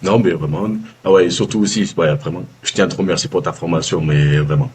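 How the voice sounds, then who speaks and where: A man with a Southern French accent, a former baker giving a casual testimonial, halting with many fillers and restarts. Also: low voice, a little mellow, slight background noise. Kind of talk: casual video-call testimonial. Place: calling from abroad, early morning.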